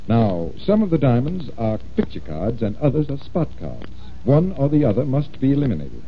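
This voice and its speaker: A man's voice: medium pitch, huge pitch swings, normal speed. Patient showman magician detective, 40s radio drama, swingy voice, muffled noisy old recording. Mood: showmanlike, patient. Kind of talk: 1940s radio acting.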